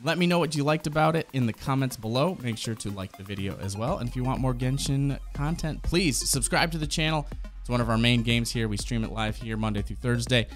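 A man's voice: mid-range voice, expressive, fairly quick. A man in his thirties giving enthusiastic, slangy reaction commentary, casual, close on a desk microphone at home. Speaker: streamer and reaction host. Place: small home gaming room. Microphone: close desk microphone on boom arm. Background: music.

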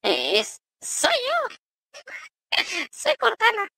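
A man using a voice-changing program to sound like a giggly, squeaky young woman. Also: wildly swooping pitch, very slow, creaky, sharp tone, dry recording, heavily acted. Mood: teasing. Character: giggly high-pitched girlish voice "Cortana". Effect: voice changer pitch shift.

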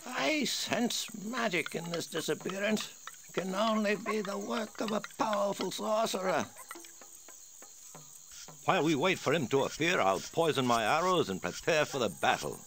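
A man's voice: sits high, lively intonation, moderate pace, a bit bright. A man playing a solemn, declamatory village elder in a children's storybook game. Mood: grave, resolute. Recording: faint rainforest insect noise.

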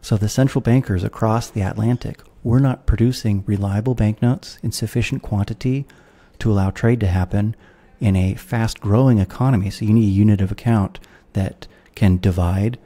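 A thirty-something American man talks through ideas at a measured, explanatory pace. His voice is dark and mellow with a touch of huskiness. He speaks into a studio mic on a video call. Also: fairly low pitch, fairly even intonation. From United States. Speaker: guest philosopher. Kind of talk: podcast interview. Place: room at home with guitars. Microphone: studio mic with headphones, video call.

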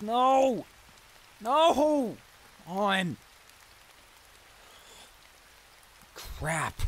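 A man's voice: high voice, full character voice. A man playing a flustered, apologetic young bully in an improvised roleplay audio drama, speaking in a hesitant, stammering way. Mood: distressed. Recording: steady rain noise behind the voice. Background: rain.

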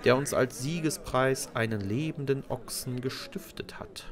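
A man's voice: mid-range voice, a little slow, close to an everyday voice. A man voicing a pompous, blustering village rifle club captain in a storybook audio play, commanding and ceremonious.

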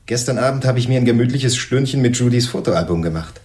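An actor playing a sceptical, wry police sergeant in a crime radio play, speaking pointedly. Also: male voice, mid-range voice, expressive, quick pace, darkish tone, reverberant, a little hiss or hum, close to an everyday voice.

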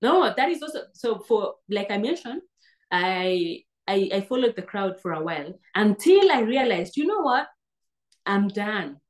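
A woman's voice: medium pitch, sing-song, a little slow. Kenyan woman in her thirties, earnest interview guest on a webcam, talks in restarts. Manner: earnest, restarts words often. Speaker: guest, PhD graduate. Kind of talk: interview over video call. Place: indoor room, seated on sofa. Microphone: laptop webcam on video call.

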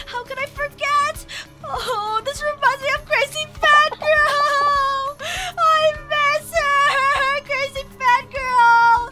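Woman acting a very high-pitched, dramatic young mother. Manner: melodramatic, exclamatory, talks to herself. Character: anxious, dramatic pregnant young mother surviving on a game block. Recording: loud music bed behind the voice.

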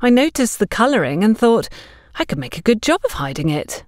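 A nervous, earnest young woman played by a woman narrator explains herself in an audio story. Her voice sits higher, with a dry sound. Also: very animated, a little fast, a little mellow, no room sound. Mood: flustered, defensive. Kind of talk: audio story character dialogue.

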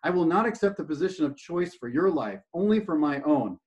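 A man's voice: on the high side, animated, brisk, close to an everyday voice. A man voicing a frustrated young man on a bridge, strained and raising his voice over the edge. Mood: resolute. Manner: strained, shouting, exasperated. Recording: dull lo-fi recording.

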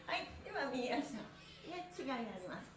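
A woman's voice: sits high, animated, quick pace. A woman instructor hosting a live stretching lesson, encouraging and playful, with rhythmic chanted phrases. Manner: cheerful, encouraging, playful, often laughing. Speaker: stretch class instructor and host. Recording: echoey room sound.

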